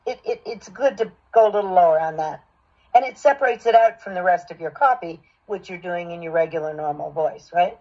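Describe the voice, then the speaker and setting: A woman voice acting coach with a husky voice, giving encouraging, explanatory direction and feedback. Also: lowish voice, a little fast. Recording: dull, lo-fi sound.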